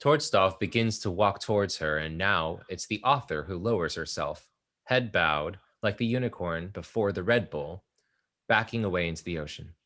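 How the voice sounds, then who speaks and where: A man with a very low voice reads out the stage directions for a play. His delivery is plain and measured, laying out each scene without any acting. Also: a bit bright. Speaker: narrator reading stage directions. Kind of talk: play table read.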